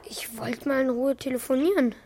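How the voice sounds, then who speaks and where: Curious schoolboy, high voice, hesitant, slangy, a bit worried, children's radio play. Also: female voice, moderate pace, mellow. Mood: evasive, hurried.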